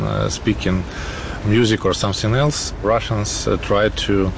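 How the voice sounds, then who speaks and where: A Ukrainian-accented man speaks halting English in a fairly low voice. He is recorded outside with clear traffic noise. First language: not English. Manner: halting non-native English. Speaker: lawyer. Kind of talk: interview clip. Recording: noisy outdoor city traffic. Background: street and vehicle noise.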